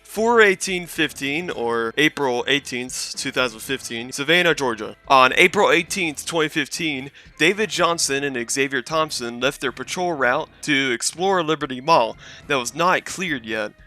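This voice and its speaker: A man's voice: mid-range voice, lively intonation, moderate pace, few pauses, bright, forceful tone, soft background music. A man hosting a casual commentary recap, talking in a rambling, hesitant and self-deprecating way. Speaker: video host and reviewer.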